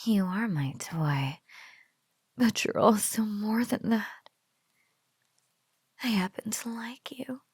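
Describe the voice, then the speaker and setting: A woman playing a teasing, possessive female spider monster in an intimate audio roleplay, with a breathy, cooing drawl that often slips into whispers. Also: medium pitch, animated, slow, long pauses, no room sound, clean studio sound, heavily acted. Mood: cooing, affectionate. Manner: teasing, drawling coo with giggles.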